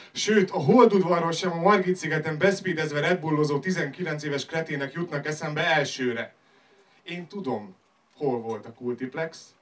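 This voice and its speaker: A man's voice: fairly high pitch, a bit bright. A man performing slam poetry on a club stage in a declamatory, rhythmic delivery. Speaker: slam poet. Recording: live club recording, distant from mic.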